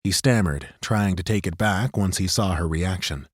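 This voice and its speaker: Low-voiced male audiobook narrator. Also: moderate pace, breathy edge, dry recording, studio quality. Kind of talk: scripted narration.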